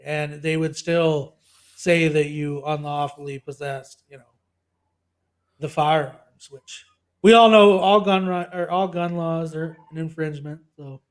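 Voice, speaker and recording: A man's voice: a little high, fairly even intonation, a little slow. A man co-hosting a casual political podcast who rambles through his opinions, laughs in the middle of sentences and trails off. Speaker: podcast co-host.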